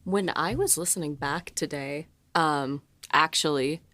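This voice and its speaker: A woman's voice: deep voice, measured pace, bright, forceful tone. A woman co-hosting a casual discussion, speaking in a thoughtful, tentative way. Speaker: writer and podcast co-host.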